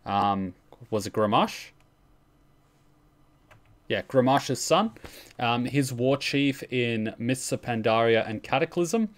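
A man's voice: medium pitch, expressive, slow pace, long pauses. A man hosting a reaction stream, giving casual commentary from a room at home.

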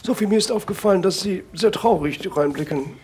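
A man's voice: high voice, fairly quick, noisy background, natural voice. A man playing a stiff, deferential, gloomy butler in a roleplay audio play. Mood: gloomy, formal. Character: gloomy, dutiful male butler.

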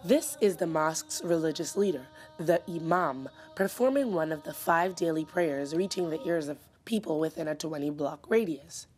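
A woman reporter reading scripted news narration in a measured, even way. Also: low-pitched.

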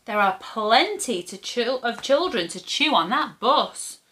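A woman voicing a small, frightened child pleading in a squeaky voice, in a rhyming children's storybook. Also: lively intonation, a little slow, pushed and bright. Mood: alarmed pleading.

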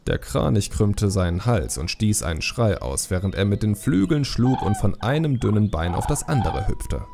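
Low, expressive male audiobook narrator, slightly gravelly. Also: moderate pace, a little hiss or hum. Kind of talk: scripted dramatic fantasy narration.

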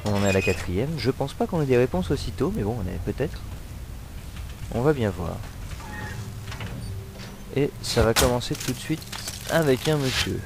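A man's voice: mid-range voice, very animated, normal speed, creaky, pauses often, background noise. Stuffy-nosed man chatting over a game. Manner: rambling, hesitant, many fillers. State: has a cold. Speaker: let's play commentator. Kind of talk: casual gameplay commentary.

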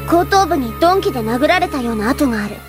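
A woman's voice: high voice, expressive, a little fast, music in the background. A woman playing a bossy, self-important teenage girl detective in an anime audio drama, commanding and self-assured. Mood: grave, assured.

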